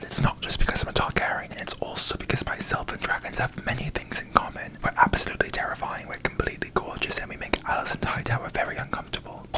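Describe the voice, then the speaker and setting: A performer voicing a haughty, disdainful fantasy queen in a breathy ASMR whisper, with pompous, deadpan, regal diction, as a comic parody. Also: natural voice, low-quality recording. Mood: proud, smug.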